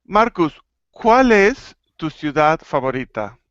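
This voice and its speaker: A man from Argentina teaching an online Spanish class, playful and clear, slowing down to model phrases. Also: fairly high pitch, very animated, fairly bright tone, no echo, lo-fi sound. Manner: playful, laughing, slow and clear when teaching. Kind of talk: live online language class.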